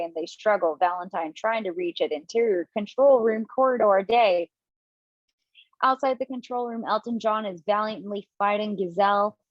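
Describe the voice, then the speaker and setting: A woman narrating stage directions for an amateur table read over a video call, casual and chatty with little asides. Her voice is mid-pitched, and the sound is dry and somewhat dull, like a lo-fi call. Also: no room sound. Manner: casual, chatty reading with asides and self-corrections.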